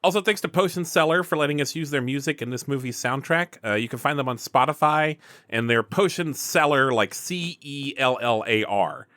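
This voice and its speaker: A man's voice: mid-range voice, normal speed, pushed and bright, dry recording. A man hosting and narrating a tabletop game in a casual, improvised, conversational way.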